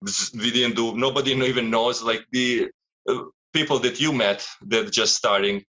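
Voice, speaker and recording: A man's voice: mid-range voice, steady tone, moderate pace, sharp tone, lo-fi sound. A man, a strength coach, talking casually and giving advice in a rambling, philosophical way.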